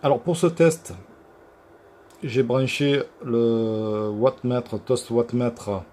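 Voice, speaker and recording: Male technician, informal workshop demo, mellow medium-pitched voice, hesitant and halting delivery, noisy room tone. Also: steady tone. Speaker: radio repair technician presenting his work. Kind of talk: informal equipment demonstration. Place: electronics workshop.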